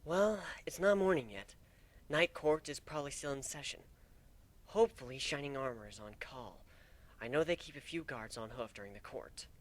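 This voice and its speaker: A man voicing an affectionate, slightly anxious female changeling in a storybook reading, soft and breathy, with a gentle, hesitant manner. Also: medium pitch, animated, normal speed, no echo, natural voice. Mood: thoughtful.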